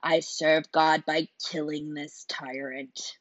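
A woman voicing a cold, fervent male assassin who talks in a low, menacing hiss. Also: little pitch movement, takes it slowly, no echo, dull audio.

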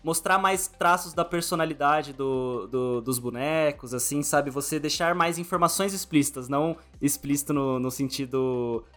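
A Brazilian man hosts a casual interview in a voice a little higher than most men's. He is wordy and informal, reworking his questions as he speaks. Music plays faintly behind him. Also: normal speed, few pauses, fairly bright tone. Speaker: podcast host interviewer. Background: background music.

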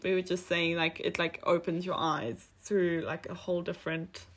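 A woman's voice: lowish voice, little pitch movement, normal speed. A young woman from Africa, co-hosting a casual podcast chat and rambling as she corrects herself. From South Africa. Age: young adult. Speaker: podcast co-host.

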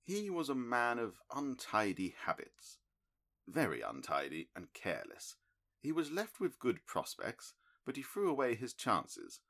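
A man reading an audiobook, voicing a calm, analytical middle-aged male detective who explains his reasoning in a crisp, precise, lecturing manner. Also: medium pitch, pitched above the performer's own voice.

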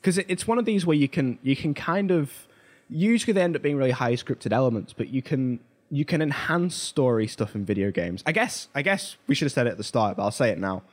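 A man's voice: medium pitch, expressive, fairly quick. A man hosting a podcast, talking casually and informally, telling stories with emphatic asides. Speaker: podcast host. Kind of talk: casual pop culture discussion.

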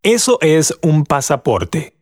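A man with an Argentine Spanish accent, a language guide who says model phrases slowly and clearly for repetition. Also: fairly high pitch. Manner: clear, deliberate, lively intonation.

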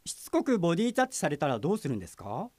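A man playing a courteous, deadpan, apologetic police detective in a comic audio drama, asking questions politely. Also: on the high side, racing, dry recording.

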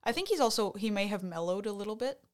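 A woman's voice: medium pitch, lively intonation, quick pace, darkish tone. Chatty Canadian woman podcast co-host. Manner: chatty, enthusiastic, trails off mid-sentence. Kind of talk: casual chat.

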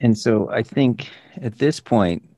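A man hosting a podcast, asking measured questions and stumbling a little as he starts. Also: medium pitch, dark tone, dry recording, clean studio sound. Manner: measured, stumbles over words when starting. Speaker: podcast host. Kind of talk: interview questions.

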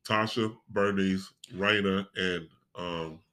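A man's voice: low-pitched, steady tone, slow, sharp tone. An American man chatting casually on a group livestream in a colloquial, slangy way. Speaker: stage play cast member.

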